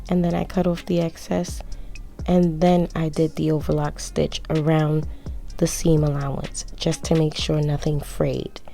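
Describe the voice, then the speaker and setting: A young woman with a hoarse, gravelly low voice talks through her sewing steps in a casual voiceover. Her tone is dark and relaxed, and she rambles a little and pokes fun at herself. Faint music plays underneath. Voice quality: raspy, strained low voice. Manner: rambling, self-mocking. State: hoarse from projecting through a mask. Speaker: sewing tutorial creator.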